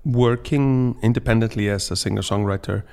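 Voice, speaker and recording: A man with an Icelandic accent talking casually as a podcast guest, often pausing to search for words. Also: lowish voice, fairly even intonation, creaky, a little mellow. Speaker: singer-songwriter and storyteller, podcast guest.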